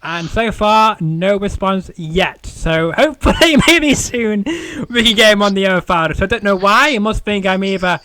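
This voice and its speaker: Croaky high-voiced male interview host. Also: animated, moderate pace, hardly any pauses, sharp tone, no room sound. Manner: rambling, chatty, many fillers. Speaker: podcast host. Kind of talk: casual interview chat.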